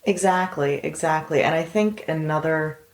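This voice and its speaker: Woman, very deep voice, measured and reflective, casual chat, echoey room. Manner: measured, reflective, agreeable backchannels. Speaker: podcast co-host.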